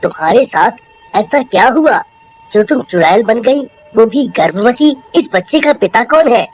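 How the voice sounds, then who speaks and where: A woman voicing a kind-hearted village man with a gentle, earnest manner, in a cartoon story. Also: on the high side, animated, measured pace, slightly rough, faint music behind. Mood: concerned. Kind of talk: Hindi horror cartoon voice acting.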